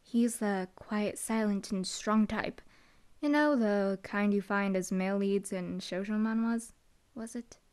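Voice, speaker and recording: A woman's voice: medium pitch, measured pace, darkish tone, clear, resonant tone, lightly acted. A young woman voicing a dry, teasing female hellhound demon bodyguard in an audio roleplay. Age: young adult. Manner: dry, teasing, laid-back.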